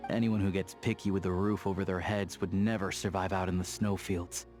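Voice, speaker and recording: A man plays a hardy young man of the snowfields in a video game, his voice on the low side. He is easygoing, sincere and plain-spoken, with firm principles. Music accompanies him. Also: level tone, brisk, a little mellow, close to an everyday voice. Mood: impressed, grateful. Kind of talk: video game character voice acting.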